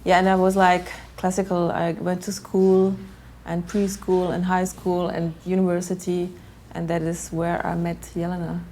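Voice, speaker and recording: A woman's voice: on the low side, monotone, normal speed, darkish tone, a little hiss or hum. A young woman designer talking about her background in a plain, matter-of-fact way. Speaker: fashion designer. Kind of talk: casual interview.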